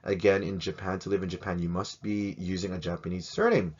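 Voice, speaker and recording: Male teacher, low voice, jokey and chatty, dull lo-fi sound. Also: level tone, fairly quick, a little mellow. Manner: chatty, jokey, asks many rhetorical questions. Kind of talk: casual educational talk to camera.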